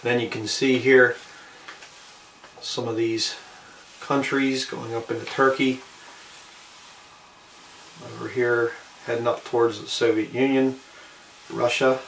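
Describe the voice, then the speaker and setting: A man giving a casual show-and-tell narration, unhurried and halting, often trailing off between short phrases. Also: medium pitch, flat delivery, gravelly, a bit bright. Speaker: amateur video maker showing a collectible. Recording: roomy, distant mic with faint background noise.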